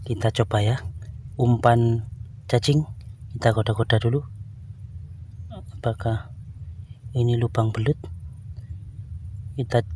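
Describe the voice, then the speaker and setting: A man's voice: mid-range voice, steady tone, slow pace, long pauses, dark tone, slight background noise. A man talking casually to viewers while fishing outdoors, with frequent exclamations, recorded on a body-worn camera. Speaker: fishing vlogger. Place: outdoors by muddy rice field water. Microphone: body-worn action camera.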